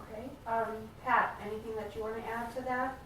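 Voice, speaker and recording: A woman playing a supportive family therapist in a role-play, speaking in a hesitant, gently validating way. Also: a little high, flat delivery, a little fast, close to an everyday voice. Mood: calm, supportive. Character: supportive, attentive female family therapist leading a session. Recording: echoey room, distant mic, faint noise.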